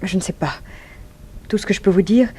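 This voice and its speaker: A performer in a French radio drama. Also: male voice, high voice, brisk, mellow, slightly rough.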